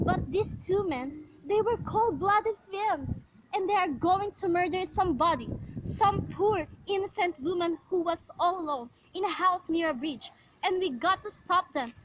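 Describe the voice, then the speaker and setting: Radio play role, female actor: nervous, demanding invalid wife, very high pitch, indignant and melodramatic. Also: a little hiss or hum, low-quality recording. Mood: horrified, urgent. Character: anxious, demanding invalid wife alone at home. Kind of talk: amateur radio play acting.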